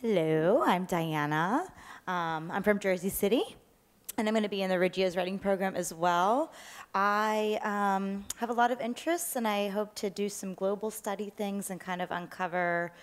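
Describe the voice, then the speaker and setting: A woman's voice: mid-range voice, moderate pace. A woman introducing herself at an orientation in an earnest, slightly hesitant way.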